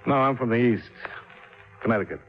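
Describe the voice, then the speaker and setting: A man's voice: medium pitch, fairly quick, natural voice. A man playing a shrewd private investigator in a 1950s radio drama, speaking in a clipped, dry, matter-of-fact way. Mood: casual. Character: shrewd, wry hard-boiled male private investigator. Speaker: narrator and lead of radio detective drama. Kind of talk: 1950s radio acting, hard-boiled narration. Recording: muffled 1950s radio recording with music.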